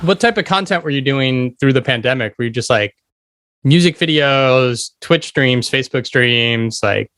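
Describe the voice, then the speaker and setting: A man's voice: mid-range voice, expressive, moderate pace, fairly bright tone, smooth, no echo, studio quality. A middle-aged man hosting a podcast, asking informal, rambling questions in a casual chat. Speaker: podcast host interviewing a musician.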